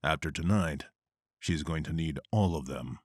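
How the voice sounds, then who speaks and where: A man playing a grim, brooding vigilante who narrates and speaks tersely and gravely. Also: very deep voice, moderate pace, no room sound, studio quality. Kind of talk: inner narration and dialogue.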